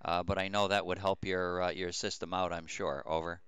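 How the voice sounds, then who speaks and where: An older man chatting unscripted as an amateur radio operator, explaining things in a halting, repetitive way. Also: low voice, little pitch movement, heavy background noise. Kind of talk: unscripted ham radio chat.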